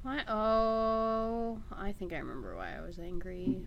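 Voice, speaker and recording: A woman co-hosting a podcast over remote voice chat, chatty and halting, often restarting her sentences. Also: medium pitch, gravelly, muffled recording. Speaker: podcast co-host. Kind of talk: casual chat.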